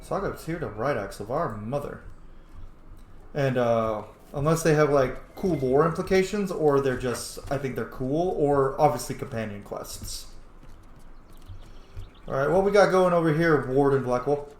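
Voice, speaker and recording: A man's voice: medium pitch, moderate pace. A man giving casual, playful let's play commentary, muttering informally to himself as he goes, in an echoey room. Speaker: gaming video host. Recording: echoey room with faint noise.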